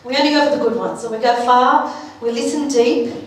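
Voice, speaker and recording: High-voiced woman speaking in an echoey hall. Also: brisk. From Turkey. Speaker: conference speaker. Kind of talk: informal personal presentation. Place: large echoey room at a live event. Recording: echoey hall, distant mic, faint noise.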